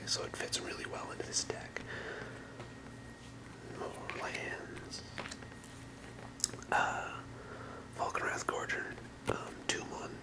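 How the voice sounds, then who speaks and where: A person whispering in ASMR style, explaining things softly and without hurry as a creator presenting to viewers. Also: poor audio. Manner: soft, unhurried explaining in whispers. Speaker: ASMR creator presenting a trading card deck.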